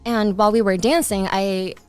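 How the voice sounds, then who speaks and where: A woman from the United States chatting casually as a podcast guest, giggly and animated as she tells a story and acts out quotes. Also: mid-range voice, moderate pace, clear, resonant tone, faint music behind. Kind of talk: casual anecdotal chat.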